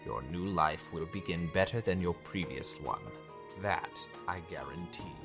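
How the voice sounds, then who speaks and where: A man playing a grave, formal high cleric and mentor in a dark fantasy visual novel, with measured delivery. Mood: reassuring, solemn. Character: male vampire high cleric, grave mentor, deferential servant. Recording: music behind the voice.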